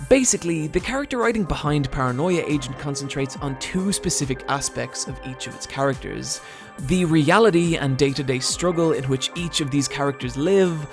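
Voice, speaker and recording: Gravelly man narrating a video essay. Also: a little high, expressive, moderate pace. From Britain. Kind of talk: scripted narration. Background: soft background music.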